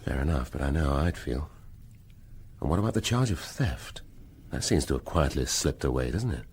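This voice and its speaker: An actor playing a gruff, inquisitive middle-aged police detective in a radio drama, with a brusque, questioning manner. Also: male voice, rumbling low, very animated, moderate pace, a little mellow, heavily acted. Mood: musing, probing.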